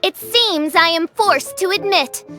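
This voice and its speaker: A woman voicing a haughty teenage girl in a video game who styles herself a dark princess, with theatrical, archaic speech. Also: very high voice, measured pace, soft background music, heavily acted. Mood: regal, grandiose.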